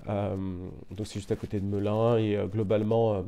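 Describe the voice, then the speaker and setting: A young man from France chatting casually as an interview guest, relaxed and meandering, full of fillers and "you know.". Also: lowish voice, fairly quick. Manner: relaxed, meandering, frequent "euh" and "tu vois". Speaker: interview guest, media founder.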